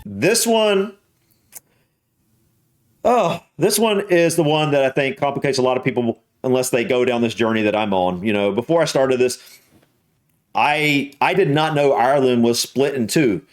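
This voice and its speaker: A man with a Southern US accent talks through a reaction video in a loose, halting way, circling back over his own words. His voice sits at an ordinary, middle pitch. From United States. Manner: hesitant, restarts and repeats words often. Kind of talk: casual reaction commentary.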